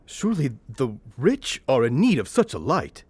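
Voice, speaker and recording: A man's voice: medium pitch, huge pitch swings, moderate pace, a little mellow, slightly rough, no room sound. A man playing a humbled old miser in an audio drama, questioning and earnest. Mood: puzzled, protesting.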